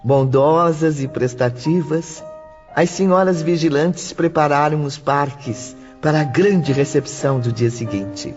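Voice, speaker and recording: A man's voice: on the high side, measured pace. Reverberant male narrator over music. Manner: formal, literary. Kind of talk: scripted audiobook narration. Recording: long reverb, dull sound, music behind.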